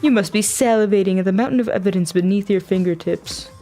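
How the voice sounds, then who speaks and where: Woman voicing taunting game villain: medium pitch, smug, mocking, florid and theatrical. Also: fast, warm tone, faint music behind, close to an everyday voice. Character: smug, taunting villain toying with a captive investigator. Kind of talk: visual novel dialogue read aloud.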